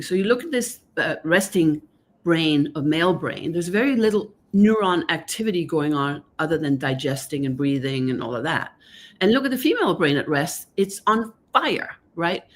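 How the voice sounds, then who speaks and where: A woman from Denmark with a Danish accent, a guest author on a live video call, explaining her ideas earnestly and often checking in with "right?". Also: on the low side. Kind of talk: online live conversation.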